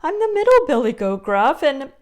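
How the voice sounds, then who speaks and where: A woman's voice: high-pitched, sing-song, moderate pace, a little mellow, pitched above the performer's own voice. A woman voicing the middle billy goat in a puppet show, a nervous young male who hesitates and wheedles. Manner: hesitant, pleading.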